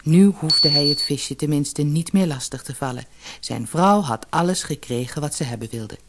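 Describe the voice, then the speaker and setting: A woman's voice: sits low, normal speed, heavy background noise. A woman reading a scripted fairy tale as an audiobook narrator.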